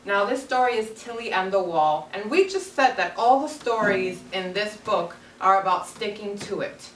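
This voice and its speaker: A woman's voice: mid-range voice, moderate pace, a bit bright. A woman teacher leading a read-aloud lesson for young children, speaking in simple, instructive phrases. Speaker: elementary school teacher. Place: carpeted classroom full of children. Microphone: far camera across the room. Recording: roomy, distant classroom camera with background noise.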